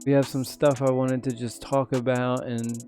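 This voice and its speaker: Sick, slightly hoarse male gaming host. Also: medium pitch, flat delivery, normal speed, mellow, faint music behind. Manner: rambling, frustrated, lots of fillers. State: sick, losing his voice a little. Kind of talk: casual commentary on a mobile game update.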